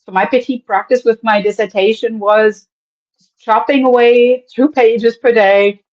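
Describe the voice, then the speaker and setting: A woman from Germany with a German accent, speaking as an animated podcast guest who laughs often and lets her pitch swing widely. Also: a little slow, highs cut off. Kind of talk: casual interview talk.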